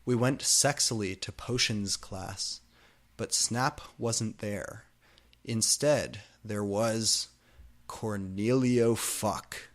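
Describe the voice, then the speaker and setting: A man reading fan fiction aloud with deliberate, theatrical, comedic narration. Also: lowish voice.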